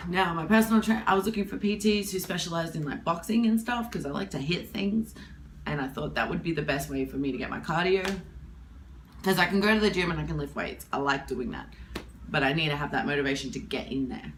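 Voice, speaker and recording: A woman in her twenties with a husky voice from hay fever, talking candidly to camera in a rambling, casual way. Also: low voice, a little fast, a little hiss or hum, rough recording. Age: 20s. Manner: candid, rambling, with many fillers. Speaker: vlogger.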